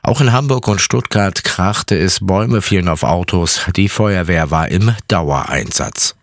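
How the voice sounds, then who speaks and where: A man reading a radio news bulletin and weather forecast in an even, formal manner. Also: sits low, a little slow, dry recording, clean studio sound. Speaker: news anchor. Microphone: studio microphone.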